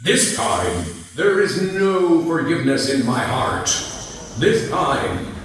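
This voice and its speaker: Regal, wrathful ruler of gods, acted by a man, slow and commanding, in booming echo, comic dub. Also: very animated, slight background noise. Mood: stern, wrathful. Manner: commanding, formal pronouncements. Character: stern, regal father of the gods, unforgiving. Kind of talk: comic book audio dramatization. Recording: heavily echoing, distant voice.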